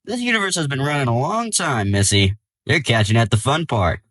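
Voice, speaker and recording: A man's voice: mid-range voice, lively intonation, brisk, fairly bright tone, no room sound, clean studio sound. A man playing a cocky, self-important male superhero who explains things breezily and jokes, in an audio drama. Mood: smug. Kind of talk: audio drama character acting.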